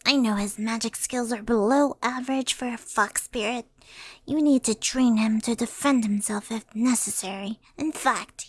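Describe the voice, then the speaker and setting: A woman's voice: on the high side, pitched above the performer's own voice. A woman voicing a practical, frank tengu friend in an ASMR roleplay, giving matter-of-fact advice. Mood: practical, helpful.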